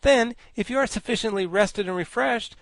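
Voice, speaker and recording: A man doing a pompous high-voiced bug scholar. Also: normal speed, vocal fry, fairly bright tone, dry recording. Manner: grandiloquent, formal, self-satisfied. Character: pompous learned giant male insect gentleman. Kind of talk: audiobook character voice.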